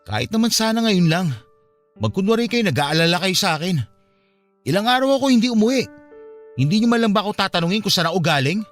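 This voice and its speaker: An actor playing an anguished young adult son in a Filipino radio drama, bitter and pleading, with emotional delivery. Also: male voice, sits high, animated, fast talker, a bit bright. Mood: bitter, hurt. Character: anguished adult son confronting his cold father. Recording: music bed behind the voice.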